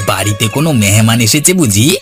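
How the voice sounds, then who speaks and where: A man's voice: lowish voice, expressive, a little fast, over music, natural voice. A man voicing a friendly, chatty village neighbour in a cartoon, talking in a familiar, informal way. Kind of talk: cartoon dialogue.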